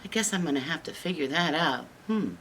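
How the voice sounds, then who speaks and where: A woman's voice: low-pitched, lively intonation, fairly quick, a bit bright, slight background noise. A woman voicing a naive little girl in a fairy tale, puzzled and exclaiming her questions. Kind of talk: animated children's storytelling with song.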